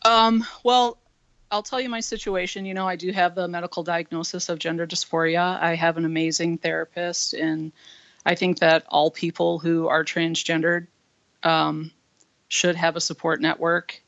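A man with a husky voice, a comedian speaking frankly as an interview guest, with many fillers. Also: a little high, flat delivery, moderate pace, a bit bright, dry recording. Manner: earnest, frank, with frequent fillers. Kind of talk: candid interview talk. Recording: dull lo-fi dry line.

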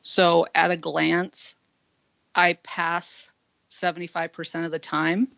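Guest comedian, man, husky voice, frank and earnest; flat delivery, lo-fi dry sound. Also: a little high, slow, dry recording. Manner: earnest, frank, with frequent fillers. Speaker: interview guest. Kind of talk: candid interview talk. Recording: dull lo-fi dry line.

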